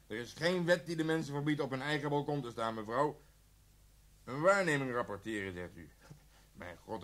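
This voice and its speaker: A man's voice: medium pitch, sharp tone. A man playing an exasperated, sarcastic police officer on the phone in a Dutch radio play. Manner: sarcastic, indignant. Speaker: actor in a radio drama.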